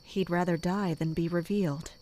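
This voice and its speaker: A woman playing a composed, wry captain of mercenaries, narrating and talking in a measured, matter-of-fact way in an audio drama. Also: lowish voice, fairly even intonation, dark tone, slight background noise, lightly acted. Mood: grim, focused. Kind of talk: first-person narration and dialogue in an audio drama.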